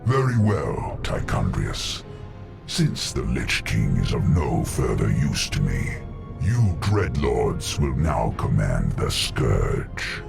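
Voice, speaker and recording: A man voicing a colossal, imperious demon lord in a video game, with a booming gravelly voice and a slow, grandiose, menacing delivery. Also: wildly swooping pitch, music in the background, heavily acted. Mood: commanding. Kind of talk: dramatic video game villain acting.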